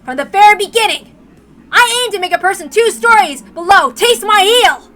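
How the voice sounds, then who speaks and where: A woman voicing a calm, confident young male fighter in a visual novel, deliberate and coolly menacing. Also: extremely high pitch, pitched above the performer's own voice, heavily acted. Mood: fierce.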